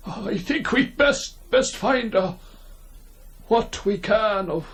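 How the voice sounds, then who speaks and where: An actor playing an excitable, pious village parson in an old radio horror drama, exclaiming and repeating himself in shock. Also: male voice, high voice, slow pace, heavily acted. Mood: grave, appalled. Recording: noisy old-time radio drama recording.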